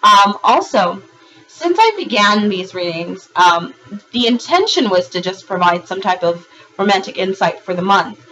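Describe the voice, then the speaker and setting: A woman with a hoarse, raspy voice from a recent virus, giving a monthly tarot reading in a rambling way, with frequent ums and restarts. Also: medium pitch, animated, unhurried, sharp tone, muffled recording. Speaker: tarot reader.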